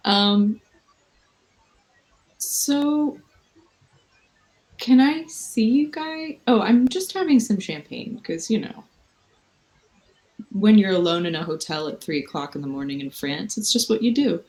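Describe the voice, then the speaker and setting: A woman's voice: medium pitch, animated, measured pace, long pauses, darkish tone, poor audio. A woman in her thirties hosting a casual late-night video chat with fans from a hotel room, rambling and self-mocking. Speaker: livestream host. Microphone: video call.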